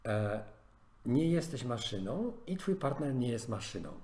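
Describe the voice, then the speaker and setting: A man's voice: mid-range voice, very animated, measured pace, dark tone. A man coaching in a podcast conversation, giving earnest advice with many fillers and restarts. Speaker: life coach.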